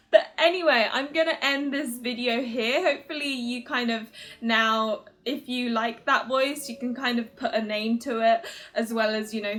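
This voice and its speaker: A woman with a fairly high voice talks casually to her viewers as the host of a fan video. Her manner is giggly and enthusiastic. She rambles informally and is quick to make fun of herself. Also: fairly bright tone. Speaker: video host, otome game reviewer. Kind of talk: casual chatty commentary to viewers.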